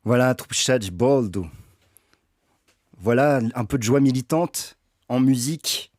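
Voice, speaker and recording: A man's voice: mid-range voice, takes it slowly, darkish tone, no room sound, studio quality. Hesitant French-speaking man hosting a stream. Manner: hesitant, many fillers and restarts. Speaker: stream host reading a book aloud. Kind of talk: casual livestream talk.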